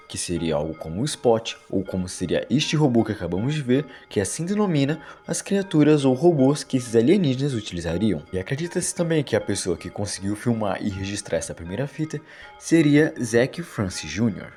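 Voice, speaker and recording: A Brazilian man presents explanatory video commentary in a conversational, rambling style. His mid-pitched voice swings expressively, with soft music behind it. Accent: Brazilian Portuguese. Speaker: video narrator and host.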